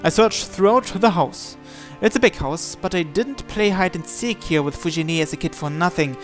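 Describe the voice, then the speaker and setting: Man, somewhat high-pitched, game series host, story read-aloud, music in the background. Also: moderate pace. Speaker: host of a visual novel playthrough series. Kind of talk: reading visual novel narration aloud.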